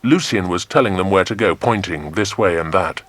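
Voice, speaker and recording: A man's voice: low-pitched, moderate pace, a bit bright, dry recording. A British man narrating a dramatised children's adventure audiobook.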